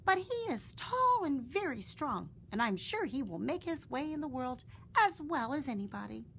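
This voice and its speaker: A woman voicing a protective mother duck in a storybook, pitched well above her own voice. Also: big pitch swings, moderate pace, vocal fry, a bit bright, slight background noise, heavily acted. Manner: high, fierce when defending her young.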